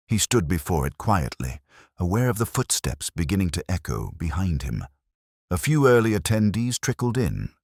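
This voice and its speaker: A man narrating a scripted detective story in a measured, literary, atmospheric manner. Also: sits low, dry recording, studio quality.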